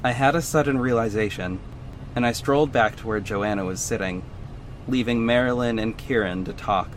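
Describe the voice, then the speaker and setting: An actor playing an earnest superhero who narrates his own story in a measured, serious audio drama style. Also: medium pitch, slight background noise, natural voice. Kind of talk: scripted first-person audio drama narration.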